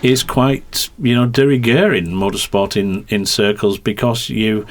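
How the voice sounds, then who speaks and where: Middle-aged Yorkshire-tinged man, lowish voice, rambling detailed talker. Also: measured pace. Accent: Yorkshire twang. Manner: rambling, hesitant, detail-heavy explanations. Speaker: racing commentator and guest. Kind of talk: podcast conversation.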